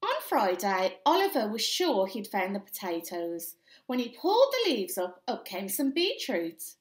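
A young woman reading a children's picture book aloud in an expressive, deliberate storytelling manner. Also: mid-range voice, echoey room. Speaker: primary school story time reader. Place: small indoor room with bare walls.

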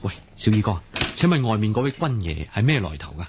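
A man's voice: fairly low pitch, sing-song, fairly quick. Proud young swordsman, noisy recording. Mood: curious. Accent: Hong Kong Cantonese. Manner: earnest, dramatic, musing aloud. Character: proud, fearless young swordsman, free-spirited. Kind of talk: Cantonese radio drama acting.

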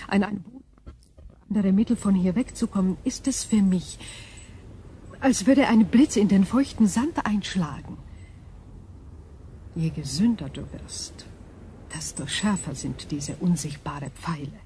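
An actress voicing an ancient, logical female crystal being in a radio drama, speaking in a measured, formal and deliberate way. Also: medium pitch, mellow, lightly acted. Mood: grave, warning. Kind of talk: German radio drama acting. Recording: clear background noise.

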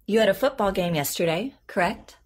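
An actress playing a patient, professional therapist in an audio drama, asking questions in a measured, careful way. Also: female voice, mid-range voice, lively intonation, close to an everyday voice. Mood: composed, pleasant. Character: composed, patient woman therapist, probing and professional. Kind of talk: naturalistic audio drama dialogue.

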